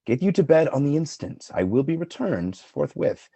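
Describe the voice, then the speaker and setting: A man's voice: fairly high pitch, big pitch swings, moderate pace, creaky, dark tone, breathy edge, dry recording, exaggerated voice. A man playing a curt, commanding lord and husband in a Shakespearean radio play.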